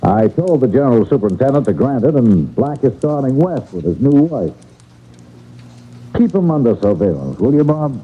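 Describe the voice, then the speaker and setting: An actor playing a shrewd, genial but probing detective agency chief in a folksy, deliberate old-time radio drama style. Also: male voice, medium pitch, very animated, background noise, close to an everyday voice. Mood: brisk, confiding. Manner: folksy, deliberate, knowingly insinuating. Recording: thin, phone-like old radio broadcast.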